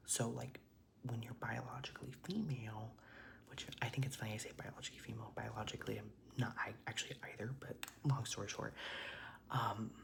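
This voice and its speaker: A man's voice: fairly low pitch, creaky, a bit bright, slight background noise. A young transgender man whispering a casual, rambling storytime while doing makeup, with lots of "like"s and casual swearing. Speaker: vlogger.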